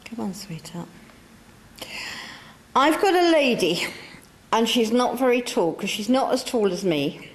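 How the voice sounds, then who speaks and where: A woman's voice: on the high side, lively intonation, unhurried, pauses often, slight background noise. A woman working as a medium, talking to a church audience in a chatty, coaxing way that circles back and repeats itself. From England. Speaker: spiritualist medium. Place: church hall.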